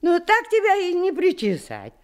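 An actress playing a fond, fussing nanny in a radio drama, folksy and gently scolding. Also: female voice, very high voice, wildly swooping pitch, moderate pace, exaggerated voice. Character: fussing, fond peasant nanny of a young boy. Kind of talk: Soviet radio drama acting.

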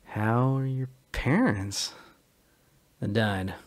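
A man voicing a sullen, intense male revolutionary in a story reading, scolding in forceful, clipped phrases. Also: on the low side, very slow.

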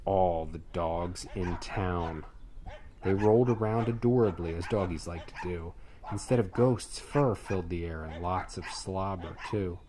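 Deep-voiced, unhurried man reading a storybook. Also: crowd noise. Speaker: storybook reader. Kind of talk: rhyming children's story read-aloud. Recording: clearly noisy added ambient sound effects. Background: sound effects, ambience, animal sounds.

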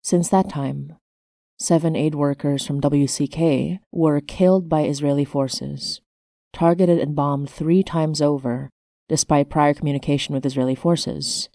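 A woman's voice: sits low, fairly even intonation, unhurried, gravelly, warm tone, no room sound, studio quality. A woman hosting her podcast, reading scripted announcements as its creator.

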